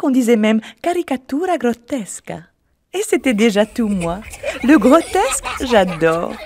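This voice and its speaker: A woman's voice: medium pitch, a little mellow, dry recording. A woman voicing caricature personified as a playful, boastful female art form, narrating in character with a teasing manner. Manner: mischievous, teasing, laughs at her own exaggerations. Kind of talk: scripted documentary narration in character.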